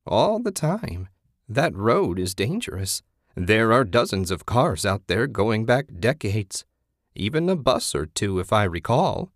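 A man voicing an eerie old woman hermit in a story, folksy and hospitable yet sly, with drawn-out phrasing. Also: on the low side, big pitch swings, no echo, clean studio sound. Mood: knowing, unruffled.

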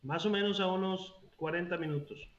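A man from Honduras, a guest answering interview questions casually with soft hesitations. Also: high-pitched, normal speed. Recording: dull, roomy remote call audio.

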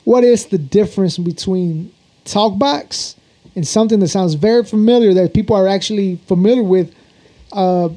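A man's voice: high voice, moderate pace, mellow. A man hosting a show and asking questions in a casual interview style.

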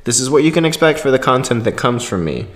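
A man's voice: medium pitch, animated, quick pace. A man co-hosting a podcast, rambling casually and jokily in informal, sweary talk, recorded with echoing reverb. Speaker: podcast co-host. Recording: echoey hall-like reverb.

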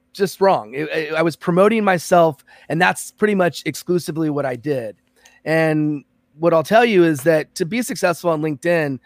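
A man's voice: fairly high pitch, dry recording, studio quality. A man born in American Samoa and raised in Los Angeles, a podcast guest telling his career story in an earnest, reflective way. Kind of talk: conversational interview.

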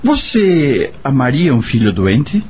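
Bitter brooding man in a radio drama, raspy-ish husky voice, pitch moves a lot, curt questions. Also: wildly swooping pitch, slow pace, a little mellow, heavily acted. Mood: troubled, questioning. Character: bitter, brooding working man haunted by rejecting his son.

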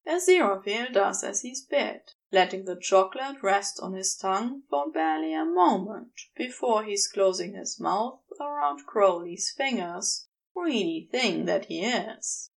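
A woman reading a piece of fiction in a measured, deliberate, intimate storytelling style. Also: mid-range voice, lively intonation, creaky. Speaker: amateur audiobook narrator.

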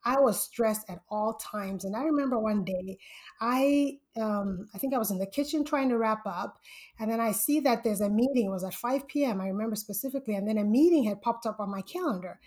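A woman from Zambia, a guest on a podcast, telling her story in a lively way and laughing often. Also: fairly high pitch, fairly quick. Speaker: podcast guest, full-time stock trader. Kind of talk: casual interview storytelling.

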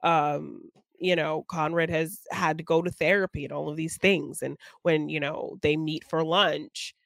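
A woman's voice: sits low, fairly even intonation, a little slow, gravelly, fairly bright tone, dry recording, clean studio sound. A woman co-hosting a podcast, discussing film casually and reflectively, often thinking aloud as she goes. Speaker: podcast co-host.

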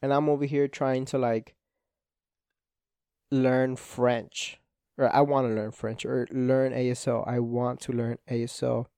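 A young man whose was Spanish talks through a solo podcast episode in a loose, rambling way. He swears a lot and leans on "like" as filler. His voice is smooth, mellow and dark, and it is recorded dry and close. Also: medium pitch, level tone, a little slow, no echo. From Spanish-speaking home. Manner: rambling, profane, self-deprecating, frequent "like" fillers. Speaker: podcast host. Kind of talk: casual solo podcast monologue.

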